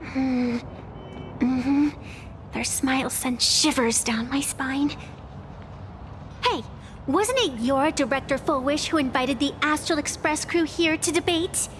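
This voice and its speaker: An actress playing a cheeky, sharp-tongued young woman in a video game, teasing and sarcastic. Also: high-pitched, slight background noise. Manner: teasing, sarcastic, quick to bite back. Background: background music.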